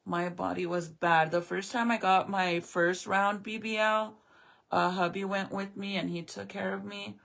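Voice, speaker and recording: Bright, gravelly-voiced chatty woman. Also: medium pitch, steady tone, a little slow. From American Southwest. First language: Spanish. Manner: chatty, blunt, swears casually. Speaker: live streamer. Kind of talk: casual chat answering viewers.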